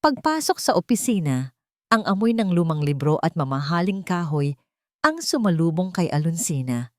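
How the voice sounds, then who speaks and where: Woman narrating a story, very expressive pitch. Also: normal speed, no echo, studio quality. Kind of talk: scripted dramatic story narration.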